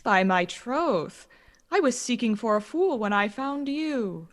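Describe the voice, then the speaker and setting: A woman's voice: a little high, expressive, slow pace. A woman playing a melancholy, sardonic cynic in a Shakespearean radio play, dry and disdainful. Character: melancholy, sardonic cynic who rails at the world.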